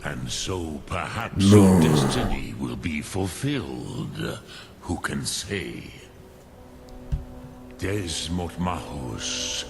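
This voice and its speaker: A man playing an ancient, wise male dragon in a fantasy game, speaking formally in a deliberate, musing and halting manner with a gravelly voice. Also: rumbling low, heavily acted. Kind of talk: fantasy game character dialogue.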